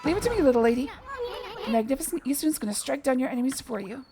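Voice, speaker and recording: A woman's voice: high voice, expressive, fast. A woman voicing a small, cocky male sidekick who talks with swagger and flirts. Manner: swaggering, teasing. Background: game music.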